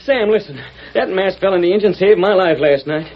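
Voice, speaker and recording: Excitable high-voiced American cowhand. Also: male voice, a little fast. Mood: urgent. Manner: urgent, folksy cowboy dialect. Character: earnest, excitable cowhand pleading his case. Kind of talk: 1940s western radio serial acting. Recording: muffled old radio broadcast, crowd behind.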